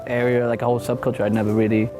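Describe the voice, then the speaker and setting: A thirty-year-old man speaks as a guest on a chat show, hesitant and full of fillers and restarts. His voice sits at a middle pitch. Music plays behind him. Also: level tone, racing. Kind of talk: casual talk show chat.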